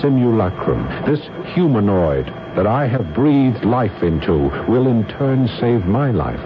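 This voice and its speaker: Through a dull, noisy old radio recording comes a fanatical middle-aged scientist. His voice sits low, and he delivers everything with domineering grandeur, in classic radio drama style. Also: very animated, a little slow. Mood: grandiose, fanatical.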